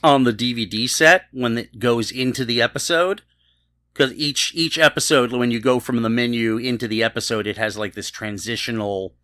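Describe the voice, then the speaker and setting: A man co-hosting a podcast in casual chat, haltingly repeating words as he starts. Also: medium pitch, bright, forceful tone, dry recording, clean studio sound. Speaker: podcast co-host. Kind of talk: casual chat, TV episode discussion.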